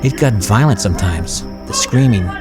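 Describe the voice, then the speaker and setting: A man's voice: on the low side, animated, normal speed, close to an everyday voice. A man playing a middle-aged suburban father who tells a small-town horror story in a folksy, confiding, conversational way. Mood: grave, confiding. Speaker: narrator of an audio horror story. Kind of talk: conversational first-person scripted storytelling. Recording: music bed under the voice. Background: background music.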